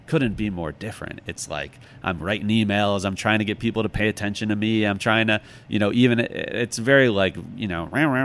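Low-voiced, thoughtful middle-aged man. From United States, Chicago. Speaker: interview guest, author. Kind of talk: reflective conversational interview answers.

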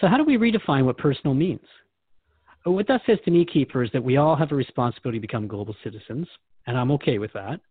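Quick-talking male host on a phone-like line. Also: medium pitch, expressive, husky, no room sound. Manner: engaged, reflective, paraphrasing. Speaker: radio show host. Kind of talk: conversational interviewing and reflection. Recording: dry, thin phone-like band.

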